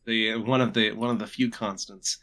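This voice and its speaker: A man hosting a casual podcast chat, stuffed up with a cold, talking in a relaxed, chatty way with frequent restarts. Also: lowish voice, moderate pace. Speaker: podcast host.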